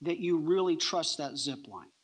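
A middle-aged man preaching a sermon on a church stage, emphatic, with deliberate pauses between short phrases. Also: on the high side. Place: large church auditorium, on stage.

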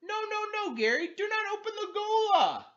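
A man voicing a little gas creature from a children's storybook, exclaiming loudly and urgently. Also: very high-pitched, big pitch swings, moderate pace, highs cut off, voice pushed up, exaggerated voice. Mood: panicked warning. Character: gleeful, bossy little male gas creature, storybook scientist.

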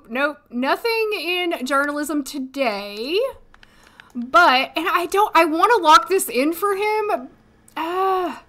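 A woman in her thirties, hoarse today, chatting casually and thinking aloud as she streams a life-sim game from a desk at home. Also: extremely high pitch, bright, forceful tone. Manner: chatty, thinking aloud, frequent little laughs. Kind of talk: casual gameplay commentary. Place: home room, seated at desk.

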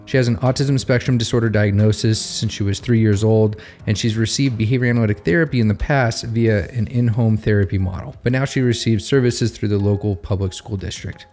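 A man's voice: on the low side, darkish tone. A man hosting a podcast, reading a scripted case introduction as narration. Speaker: podcast host.

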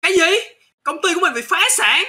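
A man voicing a proud, self-important company chairman in an animated comedy skit, dramatic in delivery, with music behind. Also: very high voice, fast talker, full character voice. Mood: shocked. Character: proud chairman posing as poor job seeker. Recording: background music under the voice.

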